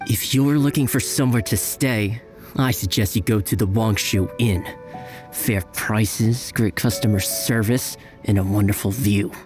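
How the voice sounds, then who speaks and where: A man's voice: on the low side, measured pace, music in the background, natural voice. A man playing a wary petty thief and bandit sidekick in a video game, talking in a placating, persuasive way. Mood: salesman-like. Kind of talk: video game character voice acting.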